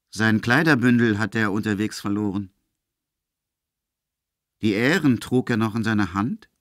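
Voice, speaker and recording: A man's voice: fairly low pitch, no echo, clean studio sound. A man narrating a literary audio drama from a script.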